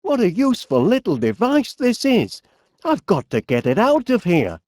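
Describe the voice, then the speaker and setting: A man voicing a genial, polite, eccentric middle-aged inventor with a Northern English accent in an animated comedy game. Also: high voice, no echo, clean studio sound, full character voice. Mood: gentle, chatty. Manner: polite, quaint British turns of phrase, drawn-out words. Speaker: video game voice actor.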